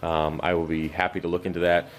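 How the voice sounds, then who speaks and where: Deep-voiced tired man with a scratchy throat. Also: flat delivery, fast talker. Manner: loose, rambling, many fillers and restarts. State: losing his voice, scratchy throat; exhausted. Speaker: aquarium channel host. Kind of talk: rambling unscripted update. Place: cluttered home fish room. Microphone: camera mic while walking around.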